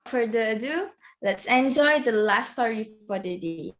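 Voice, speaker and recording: Fairly high-voiced woman hosting. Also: lively intonation, measured pace, a bit bright. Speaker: event host. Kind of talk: presenting an online event. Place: online video call.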